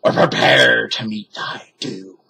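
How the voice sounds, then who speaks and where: A man voicing a big, mean, greedy pirate captain with a slow growling roar. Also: lowish voice, creaky, pushed and bright, breathy edge, heavily acted.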